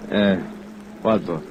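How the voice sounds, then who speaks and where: An actor playing a smug, overconfident fraud suspect in a 1950s radio detective drama, taunting and falsely genial. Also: male voice, low-pitched. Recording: muffled old radio recording with music.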